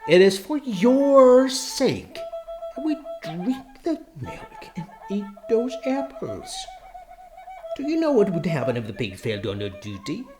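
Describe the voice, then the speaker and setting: A glib male pig propagandist, as a man reads him, coaxing and almost pleading. The voice sits well above a plain speaking voice and swoops through a lively range. Music runs behind the storybook reading. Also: high voice, takes it slowly, voice pushed up, exaggerated voice. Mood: persuasive. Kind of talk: audiobook radio drama reading.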